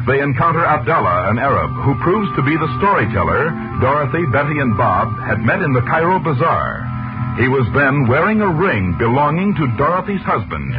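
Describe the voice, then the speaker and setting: A man's voice: sing-song, normal speed. Grand old-time radio announcer, man. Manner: grand, sweeping, sales-pitch delivery. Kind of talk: dramatic 1940s radio announcing. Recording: muffled old 1940s radio recording with loud music.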